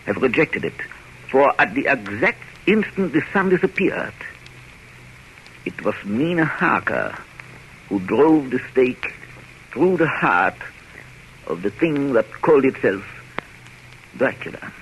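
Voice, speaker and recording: An actor playing a grave, learned witness, giving a measured and awed report of horrors in old radio drama. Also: male voice, medium pitch, sing-song, fairly bright tone, exaggerated voice. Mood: grave, deliberate. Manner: measured, solemn. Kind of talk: 1930s radio drama narration. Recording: noisy vintage radio recording.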